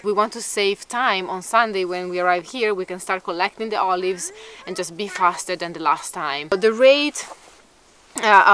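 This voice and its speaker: Brief: thirties woman, Italian native, enthusiastic outdoor vlog, bright tone. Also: mid-range voice, clean tone. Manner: upbeat, enthusiastic. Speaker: vlogger, homesteader. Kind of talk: casual vlog talk to camera. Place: outdoors in an olive grove by the sea.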